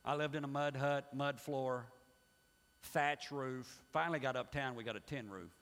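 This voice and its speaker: A middle-aged male pastor preaching a sermon, emphatic and swinging between loud pushes and hushed asides. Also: mid-range voice, normal speed. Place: church sanctuary. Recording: long hall reverb.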